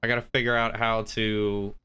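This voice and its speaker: A man's voice: lowish voice, flat delivery, moderate pace, bright, forceful tone, smooth. A man livestreaming a role-play game, chatting casually with viewers and explaining things in an informal, rambling way as he thinks aloud.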